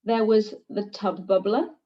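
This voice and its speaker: A woman reading a children's picture book aloud in an expressive, deliberate teacher's storytelling manner. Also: medium pitch. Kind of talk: scripted picture-book narration. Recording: distant, slightly dull microphone.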